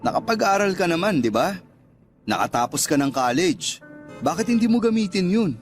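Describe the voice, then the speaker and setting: An actor playing an indulgent, seductive Filipino sugar daddy in a radio drama. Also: male voice, high voice, very animated, normal speed, faint music behind. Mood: coaxing.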